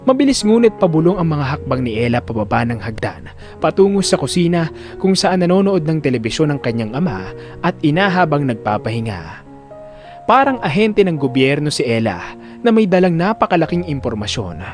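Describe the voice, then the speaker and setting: A man's voice: on the high side, very animated, fairly quick, soft background music. A man narrating a scripted audio drama in a dramatic storytelling style.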